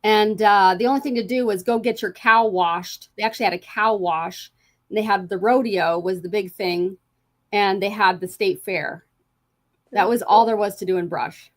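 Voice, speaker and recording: A woman from Colorado, co-hosting a casual talk show and chatting quickly about her small-town upbringing. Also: mid-range voice. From Colorado, USA. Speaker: co-host. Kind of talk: casual radio show chat.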